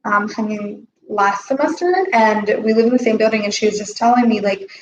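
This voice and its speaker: A woman's voice: mid-range voice, a little fast, reverberant, muffled recording, background noise. A young woman guest on a live stream, chatting casually about her studies and saying "like" a lot. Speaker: language student guest.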